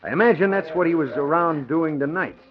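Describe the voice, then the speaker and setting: A man's voice: on the high side, brisk, over music, lightly acted. A man acting a breezy, slangy, wisecracking crime reporter in a 1940s radio drama. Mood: informative. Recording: muffled old radio recording, crowd murmur.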